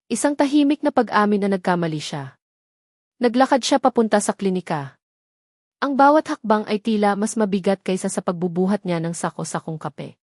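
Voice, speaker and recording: A woman's voice: medium pitch, a little mellow, dry recording. Woman narrating a romance story, very dry sound.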